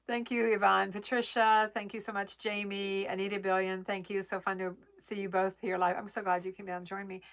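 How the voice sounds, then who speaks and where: A woman's voice: mid-range voice, a bit bright. Chatty woman on a phone livestream. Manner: chatty, bubbly, laughs easily. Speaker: livestream host reading viewer comments. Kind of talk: casual live chat. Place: home family room downstairs.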